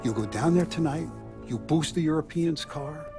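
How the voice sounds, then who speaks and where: An actor playing a shrewd mob boss who gives orders in a measured, authoritative way. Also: male voice, on the high side, close to an everyday voice. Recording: game cutscene with music.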